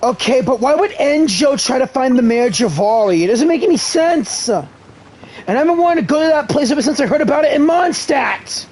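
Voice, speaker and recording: A man's voice: extremely high pitch, fairly quick, breathy edge. A man streaming a game live, chatting casually, reading dialogue aloud and reacting with enthusiasm. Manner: animated, excitable, reads dialogue aloud. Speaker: gaming streamer. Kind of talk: casual live commentary while playing.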